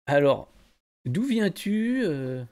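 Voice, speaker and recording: A man's voice: on the high side, very animated, extremely slow, darkish tone. Informal podcast host, man. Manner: informal, colloquial. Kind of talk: casual podcast interview.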